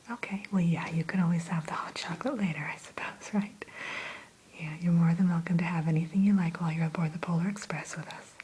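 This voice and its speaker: A woman's voice: lowish voice, darkish tone, breathy edge, low-quality recording. A woman playing a courteous train attendant in an ASMR roleplay, whispering in a polite, accommodating and chatty manner. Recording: faint background hiss.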